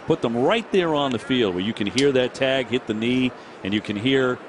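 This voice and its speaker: A man's voice: mid-range voice, expressive, background noise. A man calling a baseball game as play-by-play announcer, chatting with his partner and reading promos. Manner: brisk, conversational, reads promos. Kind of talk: baseball broadcast commentary. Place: ballpark broadcast booth.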